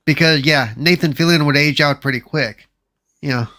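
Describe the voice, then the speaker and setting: A man chatting casually as a panelist, skeptical and measured in how he argues. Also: fairly high pitch, sharp tone. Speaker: show panelist. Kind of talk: casual chat.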